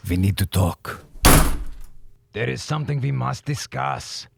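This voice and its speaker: An actor playing a grave, calculating scientist in an audio drama, speaking in formal, deliberate phrases. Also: male voice, medium pitch, expressive, vocal fry, very noisy. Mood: grave, insistent. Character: grave, calculating male scientist, Dr. Hilbert, pragmatic and secretive.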